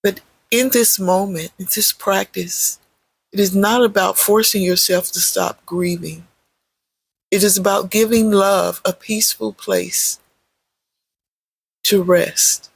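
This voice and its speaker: Slow, husky meditation guide with creaky voice. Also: mid-range voice, fairly even intonation, no echo, poor audio. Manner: gentle, measured, pausing between short phrases. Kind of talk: guided meditation, unscripted spoken guidance.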